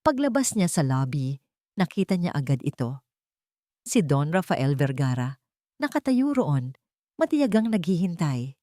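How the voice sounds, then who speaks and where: Woman narrating a dramatic story, lowish voice. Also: very animated, unhurried, long pauses, dark tone, no room sound, studio quality.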